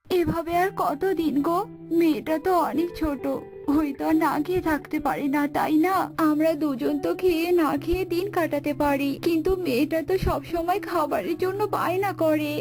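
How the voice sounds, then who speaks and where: A woman playing a poor village wife, caring and gently urging, in a cartoon story. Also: sits high, moderate pace, long pauses, over music. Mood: worried.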